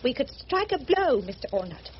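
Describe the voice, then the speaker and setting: A woman's voice: high voice, big pitch swings, moderate pace. An actress playing a prim, determined English missionary in her thirties, with Received Pronunciation and a formal, earnest manner, in a radio drama. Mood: resolute. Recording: muffled, noisy old radio drama recording.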